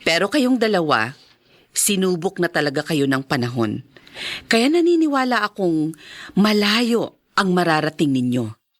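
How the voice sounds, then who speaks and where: A woman's voice: on the low side, sing-song, moderate pace, long pauses. A woman playing a caring, encouraging benefactor in a radio drama, speaking with motherly concern. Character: caring, encouraging female benefactor, addressed as ma'am. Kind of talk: Filipino podcast radio drama acting.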